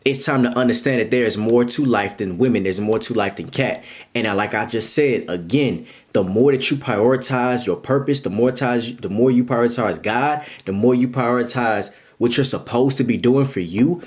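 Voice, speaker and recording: A man's voice: medium pitch, a little mellow. Young male vlogger, twenties, punchy and repetitive, lecturing viewers like a big brother. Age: 20s. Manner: emphatic and repetitive, talks straight to viewers. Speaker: vlogger giving life advice. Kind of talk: casual motivational monologue.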